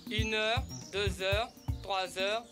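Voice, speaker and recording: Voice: medium pitch, steady tone, takes it slowly, over music, close to an everyday voice. An actor voicing a patient cartoon animal friend who explains things in a didactic way. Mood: patient, explaining. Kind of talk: educational cartoon acting.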